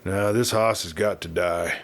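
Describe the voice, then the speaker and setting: A man's voice: sits low, fairly even intonation, a little slow, a bit bright, voice pushed down. A man voicing a big, ruthless Western villain in an audiobook, with a rustic American Western drawl and a growling, contemptuous manner. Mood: grim, resolved. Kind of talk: Western audiobook character reading.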